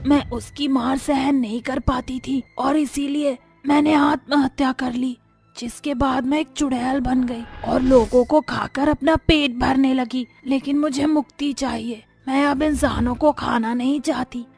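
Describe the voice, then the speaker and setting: A woman plays a man-eating cartoon witch with a high, heavily gravelly voice. She drags out her words theatrically, hungry and menacing, over music. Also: fairly even intonation, darkish tone. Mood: sorrowful, pleading. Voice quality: creaky, gravelly. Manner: slow, drawn-out, theatrical. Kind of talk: Hindi animated horror story acting.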